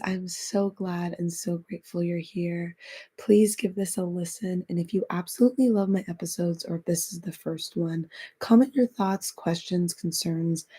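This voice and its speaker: Woman host, husky and raspy after illness, warm lowish voice, casual self-help talk. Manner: chatty, encouraging, repeats phrases. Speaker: podcast host.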